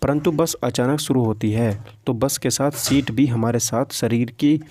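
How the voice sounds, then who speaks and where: A man's voice: medium pitch, fairly even intonation, moderate pace, a little mellow. A man teaching physics for exam preparation in an informal lecture style, reading out questions and explaining them, often repeating himself and checking that listeners follow.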